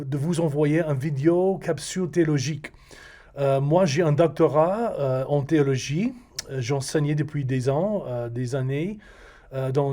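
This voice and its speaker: A middle-aged Texan man, a native English speaker, preaching slowly from a script in careful, halting French. His voice sits a bit high and he pauses often to find his words. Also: dark tone. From Texas. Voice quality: halting non-native French with frequent hesitations. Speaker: pastor. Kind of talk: recorded video sermon read from a script.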